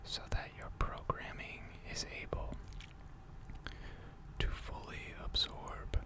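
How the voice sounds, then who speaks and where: Gentle robot mechanic, played by an ASMR performer, whispered, very slow, formal and soothing. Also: lightly acted. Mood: calm, methodical. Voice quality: soft breathy whisper. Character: gentle, attentive robot mechanic tending a salvager robot.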